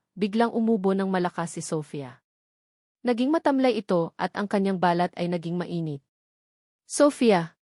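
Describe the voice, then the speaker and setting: A woman's voice: mid-range voice, darkish tone, no echo. Woman narrating a dramatic story, very dry sound.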